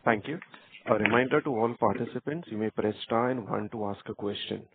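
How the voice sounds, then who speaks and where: A man's voice: on the low side, brisk, slight background noise. A man working as conference operator, reading formal scripted announcements. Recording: muffled narrowband phone line.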